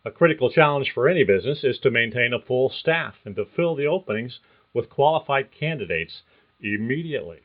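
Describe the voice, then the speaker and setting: An older man hosts his career podcast with a folksy, reassuring coaching manner. His voice sits at a middle pitch for a man. The recording sounds a little dull and lo-fi. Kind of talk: scripted podcast monologue.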